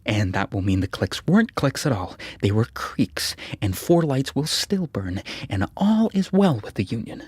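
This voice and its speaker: An actor playing a jumpy, self-mocking young graduate student in a radio drama, husky and nervously muttering. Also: male voice, medium pitch, big pitch swings, moderate pace, few pauses, a little mellow, no room sound. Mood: anxious reasoning. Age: young adult. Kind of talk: radio drama acting, interior monologue.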